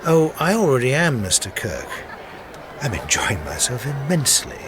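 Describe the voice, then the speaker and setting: A man's voice: medium pitch, huge pitch swings, moderate pace. An actor playing a suave, menacing man of about fifty, urbane and drily amused, in a radio drama. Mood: coolly amused. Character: suave fifty-year-old male stranger, cold, amused, menacing. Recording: noisy outdoor crowd ambience behind.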